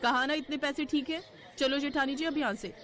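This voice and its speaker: A woman as a haughty, high-pitched young wife. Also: fairly even intonation, a little fast, crowd noise, lightly acted. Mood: haughty, scolding. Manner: haughty, taunting. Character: boastful, snobbish younger daughter-in-law, haughty and sharp-tongued.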